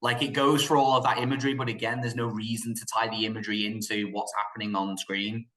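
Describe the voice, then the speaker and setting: A man giving opinions in a casual film discussion, animated and joking, sometimes slipping into mock quotes. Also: fairly low pitch, fast. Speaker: podcast panelist reviewing films.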